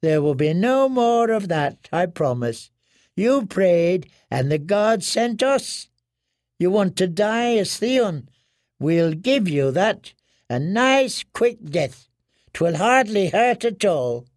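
A man voicing a menacing washerwoman who taunts in a slow, sweetly cruel way. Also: sits high, sing-song, no room sound, clean studio sound, pitched above the performer's own voice, full character voice. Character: mocking, menacing older washerwoman.

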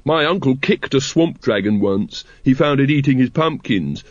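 Guy playing a dopey chatty bloke in a storybook, animated pitch, dry sound. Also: normal speed, no echo, highs cut off, natural voice. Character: gormless, chatty, rambling male cult member.

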